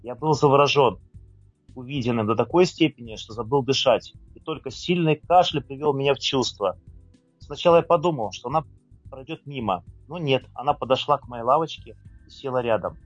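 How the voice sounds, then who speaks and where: A man's voice: mid-range voice, fairly quick, no room sound, highs cut off, faint music behind. A man presenting his own writing in an informal talk and reading aloud.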